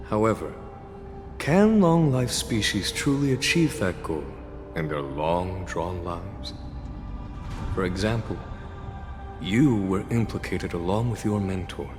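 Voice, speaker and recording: A male actor playing a composed, shrewd general in a video game, speaking in a measured, formal and eloquent way. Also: low-pitched. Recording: long reverb under loud background music.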